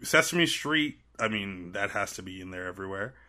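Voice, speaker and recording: A man's voice: on the low side, lively intonation, fairly quick, pushed and bright. A man in his thirties from Trinidad and Tobago, chatting casually as a podcast guest, often restarting his phrases mid-thought. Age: 30s. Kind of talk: casual conversational interview.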